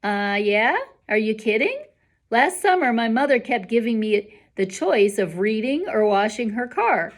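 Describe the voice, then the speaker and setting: A woman voicing an excitable, funny young schoolboy with an emphatic, wide-eyed manner in a storybook reading. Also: on the high side, measured pace. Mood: exasperated, joking.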